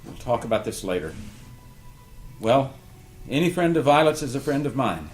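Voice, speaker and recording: Casting: male actor as authoritative, wary mentor; formal, measured, mid-pitched, audio drama with soft music behind. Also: sing-song, close to an everyday voice. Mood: stern, formal. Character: guarded, authoritative older mentor, courteous but stern. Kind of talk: audio drama cast table read.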